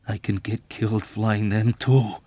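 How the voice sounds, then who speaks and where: A man voicing a furious, pugnacious airman in an audiobook, with a rough, quavering, agitated voice. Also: lowish voice, little pitch movement, measured pace, mellow, no echo. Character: furious, overwrought young airman plotting a killing.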